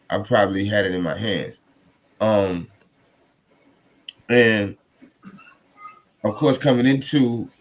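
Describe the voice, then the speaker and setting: A man hosting a podcast, speaking in a halting, courteous and reverent conversational way. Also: fairly low pitch. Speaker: podcast host. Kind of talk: conversational podcast introduction and discussion. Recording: noticeable background noise.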